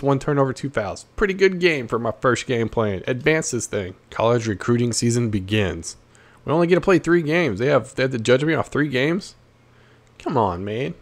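Male gaming host, medium pitch, casual and slangy running commentary, repeats himself, answers the game aloud. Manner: slangy, repeats phrases, talks back to the game.

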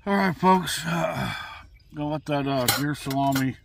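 A man's voice: fairly high pitch, moderate pace, gravelly, background noise. A middle-aged man, talking casually to the camera while cooking at a campsite, rambling with many fillers and restarts. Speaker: camping vlogger. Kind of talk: casual outdoor cooking vlog. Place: campsite outdoors on open grass. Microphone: camera on a table nearby.

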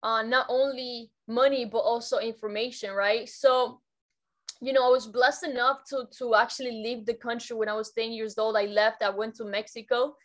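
A woman's voice: fairly high pitch, steady tone, normal speed. A young woman from Cuba, speaking as a guest educator in an upbeat, casual way on a video call from her bedroom, often repeating and restarting words. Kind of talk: casual motivational talk. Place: bedroom at home.

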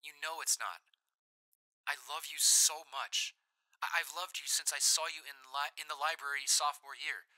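A man playing a devoted, anxious young boyfriend in an intimate audio roleplay, speaking tenderly and haltingly with repeated reassurances. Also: fairly high pitch, fairly even intonation, sharp tone, poor audio. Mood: tender, pleading. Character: devoted, anxious young boyfriend comforting his hurt girlfriend. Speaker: voice actor.

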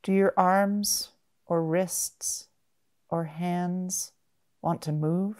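A woman guiding a meditation in a gentle, measured manner, with long pauses between short phrases. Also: on the low side, fairly even intonation. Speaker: mindfulness meditation guide. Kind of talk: guided body-scan meditation.

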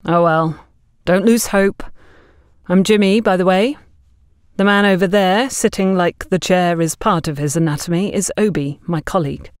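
A woman's voice: fairly low pitch, normal speed, clear, resonant tone, no echo, close to an everyday voice. A friendly, chatty young security guard voiced by a woman talks in an audio story. The recording is dry and close. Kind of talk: audio story character dialogue.

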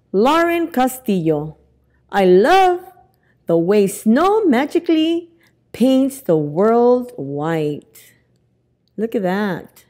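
A middle-aged woman reads a picture book aloud for young children. Her mid-pitched voice moves up and down with lots of expression. She takes her time, giving each phrase a slow, deliberate delivery. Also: a little mellow. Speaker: library storytime reader. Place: indoors in a library, among bookshelves. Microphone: lapel mic.

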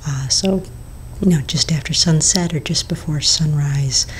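A woman whispers close to the mic in a low, hushed voice, unhurried and soft. Faint hiss sits under her words in a small indoor room. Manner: whispering, slow and gentle, with fillers. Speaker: ASMR roleplay potion shopkeeper. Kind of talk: ASMR whispered roleplay. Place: small indoor room before shelves of bottles.

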